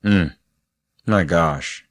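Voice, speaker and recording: A man's voice: low voice, extremely slow, dry recording, clean studio sound. A man hosting a podcast, interviewing a guest and recapping her story in narration, with attentive murmurs. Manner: attentive, frequent affirming murmurs.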